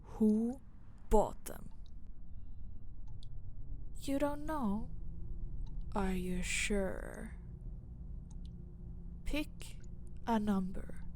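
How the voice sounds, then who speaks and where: A woman playing a cold, domineering mafia boss in a roleplay audio drama, taunting and menacing, often dropping into a breathy hush. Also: mid-range voice, slight background noise.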